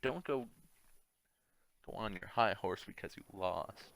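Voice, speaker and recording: A man's voice: on the low side, unhurried, vocal fry, a bit bright. A man giving ranting commentary over a video game playthrough. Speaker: gaming commentator.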